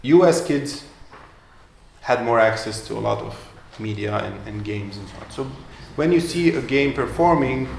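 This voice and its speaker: A man's voice: medium pitch, animated, moderate pace, creaky, slight background noise. A man answering questions as a guest entrepreneur in a live interview, speaking with many fillers and restarts. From Romania. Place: event room. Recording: echoey room with faint ambience.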